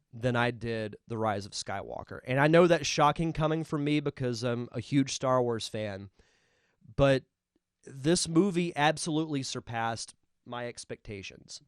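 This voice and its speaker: A congested man rambles happily through a casual solo review, an eager fan talking straight to his listeners. His voice is smooth and resonant despite the cold, with a mid-range pitch. The sound is dry and close, with no room around it. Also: no echo. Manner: chatty, enthusiastic fan rambling. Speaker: podcast host.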